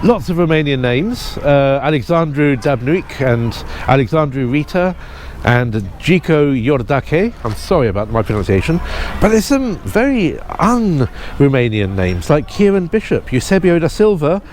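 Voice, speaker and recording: A man's voice: on the high side, sing-song, unhurried, a little mellow, slight background noise. Hesitant male presenter outdoors at football. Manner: hesitant, full of restarts and ums, apologetic. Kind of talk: informal presenting to camera.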